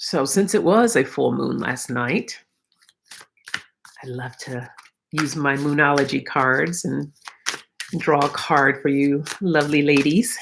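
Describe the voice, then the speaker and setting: A middle-aged woman hosts an informal spiritual card reading online. Her voice is gravelly and a little froggy, with a lot of fry and a tired edge. She talks to her viewers in a reflective, encouraging way. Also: low voice, slow, pauses often, noisy background. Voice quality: slightly froggy, croaky. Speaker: host of a live oracle card reading. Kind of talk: casual spiritual livestream chat with viewers.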